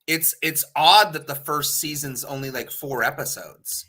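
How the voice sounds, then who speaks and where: A man's voice: fairly high pitch, measured pace, pushed and bright. A man chatting casually and opinionatedly as a talk show panelist, rambling, emphatic and loose with swearing.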